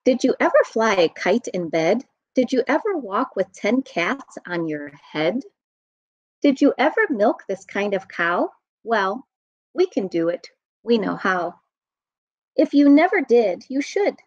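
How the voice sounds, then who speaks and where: An American woman, around her thirties or forties, reading a rhyming children's book aloud in an expressive, sing-song way. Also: fairly high pitch, a little slow, dry recording. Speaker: children's storytime reader. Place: room at home. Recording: dull, muffled webcam audio.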